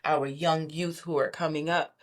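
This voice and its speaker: A woman, giving an animated, emphatic testimony as a podcast guest and often repeating herself for stress. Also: deep voice, moderate pace. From Brooklyn, New York.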